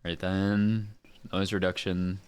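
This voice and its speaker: A man's voice: low-pitched, little pitch movement, takes it slowly, clear, resonant tone. A man chatting casually and playfully on a livestream as a voice actor, sometimes muttering to himself. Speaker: streamer, voice actor.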